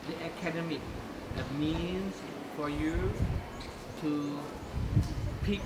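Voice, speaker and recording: Brief: man asking halting questions slowly, picked up from afar over heavy noise. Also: on the high side, fairly even intonation. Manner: slow, halting questions with many fillers. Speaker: interviewer. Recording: distant mic with loud noise.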